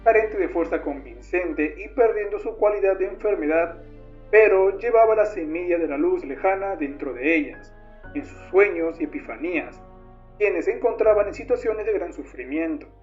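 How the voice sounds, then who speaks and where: A man's voice: sits high, animated, normal speed. A man reading scripted, documentary-style horror narration as the narrator. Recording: dull lo-fi sound under music. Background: music.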